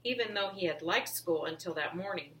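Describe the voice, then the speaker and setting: A woman's voice: lowish voice, brisk, a bit bright, slight background noise, poor audio. A woman reading a children's storybook aloud as a narrator.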